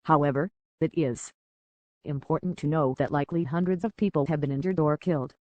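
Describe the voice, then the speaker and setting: A woman's voice: sits low, fairly even intonation, brisk, no room sound, dull audio, close to an everyday voice. A synthetic-sounding woman playing a TV news reporter, reading a scripted breaking news report formally.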